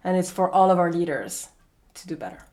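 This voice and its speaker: A woman's voice: on the low side, lively intonation, fairly quick, mellow. A woman speaking firmly and emphatically as a podcast guest. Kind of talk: podcast conversation.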